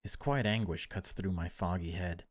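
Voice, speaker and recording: A man with a deep, low voice narrates a horror game aloud. He reads it like a storyteller, theatrical and often hushed, as a let's-play host would. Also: normal speed. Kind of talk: dramatic read-aloud narration of a horror visual novel.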